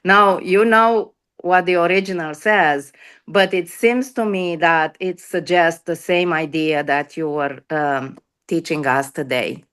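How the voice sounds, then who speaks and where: A woman's voice: lowish voice, a little slow, fairly bright tone, clear, resonant tone. A woman, a chaplain, speaking up hesitantly on a video call and often repeating her words. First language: Romanian. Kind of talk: question from the audience on a video call.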